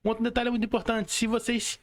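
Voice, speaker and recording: Male podcast host, Brazilian, notably high voice, informal interview style with frequent tag questions. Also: completely flat delivery, fast. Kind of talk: casual online interview hosting. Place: remote video call.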